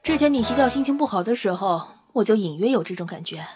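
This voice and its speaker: A woman's voice: medium pitch, animated, fast, over music, natural voice. A woman playing a brash, fiery, outspoken female vampire scientist in an audio drama, with an emphatic, informal manner. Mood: eager, explaining. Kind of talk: Chinese audio drama acting.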